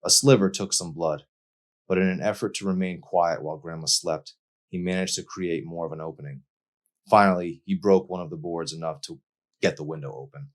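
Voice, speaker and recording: A man's voice: sits low, steady tone, a little fast. A man reading his own novel aloud as dramatic audiobook narration.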